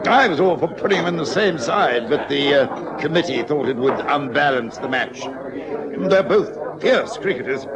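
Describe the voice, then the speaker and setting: A man's voice: on the high side, expressive, normal speed, natural voice. A man playing a hearty, gossipy middle-aged colonel with an upper-class British accent and a chummy, blustery manner in a radio drama. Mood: gossipy, disapproving. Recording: loud pub crowd behind.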